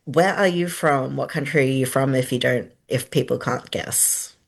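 A woman's voice: very deep voice, normal speed, vocal fry. A woman hosting a community radio interview, asking curious questions and answering with short encouraging affirmations.

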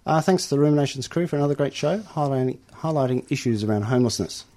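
Male community radio host, mellow tone, halting with uhs. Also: medium pitch, expressive. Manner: hesitant, many uhs and ums. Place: radio studio.

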